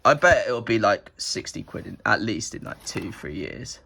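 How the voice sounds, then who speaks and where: A man's voice: fairly low pitch, sing-song, moderate pace, gravelly, pushed and bright, breathy edge. A young man with a head cold that makes him sound nasal, rambling casually through his collection with lots of filler words. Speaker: card collector vlogger. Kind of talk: casual rambling show-and-tell.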